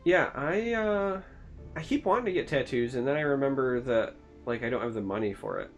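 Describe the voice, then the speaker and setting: A man's voice: medium pitch, expressive, brisk, soft background music. Dry-joking man from Michigan. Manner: dry, joking, asks questions. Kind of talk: casual chat.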